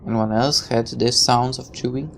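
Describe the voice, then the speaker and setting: A woman's voice: very deep voice, steady tone, normal speed, vocal fry, slight background noise. A woman playing a visual novel, reading the text aloud and dropping irreverent, sarcastic asides. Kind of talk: game playthrough, reading text aloud with offhand commentary.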